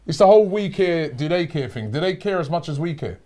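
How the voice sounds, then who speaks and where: Frustrated middle-aged host. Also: male voice, fairly high pitch, lively intonation, fairly quick, warm tone. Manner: frustrated ranting, with frequent restarts. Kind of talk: fan opinion talk show.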